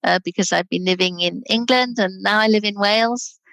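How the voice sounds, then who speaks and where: A woman in her sixties, originally from Scotland, chatting relaxed as a guest over a video call and laughing easily. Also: mid-range voice, brisk, gravelly, dry recording. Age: 60s. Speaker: guest, charity director. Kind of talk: casual interview chat.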